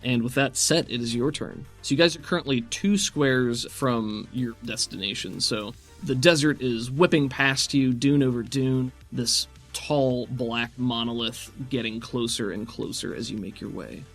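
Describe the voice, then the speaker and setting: A man's voice: medium pitch, measured pace, a bit bright. Man game-mastering over music. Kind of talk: tabletop game narration, casual rules talk. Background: background music.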